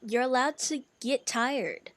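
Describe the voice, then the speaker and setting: A woman streamer giving casual gameplay commentary, gushing and halting, often repeating herself. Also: sits high, a little hiss or hum. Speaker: streamer reacting to a game.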